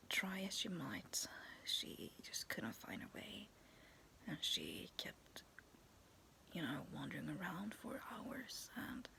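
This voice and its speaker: A young woman vlogging in a soft, raspy whisper, talking to her viewers to camera and telling a spooky story, giggling now and then. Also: sits low. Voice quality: raspy, cracking voice. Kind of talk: whispered casual vlog with a ghost story. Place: dim bedroom with fairy lights. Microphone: phone or webcam at arm's length.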